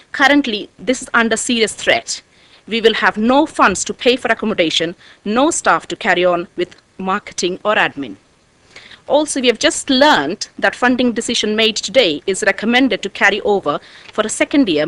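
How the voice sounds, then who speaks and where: A woman addresses a council meeting as a guest speaker from an arts organisation. Her mid-pitched voice is croaky. She speaks in a formal presentation style. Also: fairly bright tone, dry recording, poor audio. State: croaky voice, needing water. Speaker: artistic director of a dance company, guest speaker.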